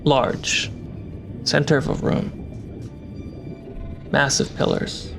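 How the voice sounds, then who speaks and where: A game master narrating a tabletop fantasy game with deliberate dramatic pauses. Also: mid-range voice, gravelly. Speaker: game master and show host. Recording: background music and rain sounds under voice.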